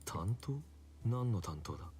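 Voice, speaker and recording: A man's voice: medium pitch, sing-song, moderate pace, mellow, soft background music, lightly acted. An actor playing a laconic, guarded man in a visual novel, speaking tersely and bluntly. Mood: guarded, questioning. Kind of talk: Japanese visual novel acting.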